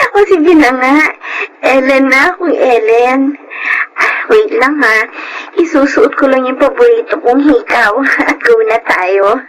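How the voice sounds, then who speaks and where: Casting: young Filipino woman, soft-hearted sentimental daughter, high voice, emotional radio drama with faint noise. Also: takes it slowly, rough recording. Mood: agreeable. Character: meek, sentimental young daughter of a well-off household.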